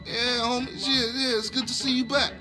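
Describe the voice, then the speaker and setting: An actor playing a cocky, hot-tempered gangster who talks in brash, mocking slang in a video game. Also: male voice, very high-pitched, little pitch movement, normal speed, music in the background. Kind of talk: video game cutscene acting.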